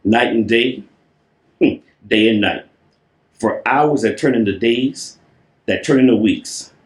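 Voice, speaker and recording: A man's voice: mid-range voice, slow. A man reading a children's storybook aloud in a playful, theatrical way, stretching and repeating words for effect. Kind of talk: animated storybook read-aloud with playful asides. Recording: roomy, echoing voice recording.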